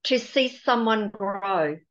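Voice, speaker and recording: A New woman in her sixties hosts a reflective conversation over a video call, talking slowly and encouragingly. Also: mid-range voice, lively intonation, fairly bright tone. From New Zealand. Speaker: podcast host. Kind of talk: unhurried spiritual conversation. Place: home room with patterned screen. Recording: dull lo-fi video call audio.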